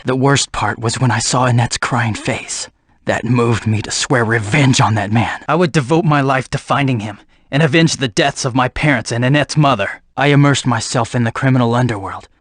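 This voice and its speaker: A man voicing a brooding, vengeful assassin in a video game, speaking gravely and in measured, formal phrases. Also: mid-range voice, a bit bright, dry recording, clean studio sound, lightly acted. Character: brooding vengeful male assassin, haunted by his past. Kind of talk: dramatic English video game voice acting.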